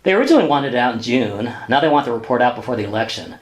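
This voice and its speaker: Earnest, put-upon male junior lawyer man acting, brisk and animated, deferential straight man in a radio sitcom. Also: medium pitch, lightly acted. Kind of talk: sitcom-style radio play dialogue.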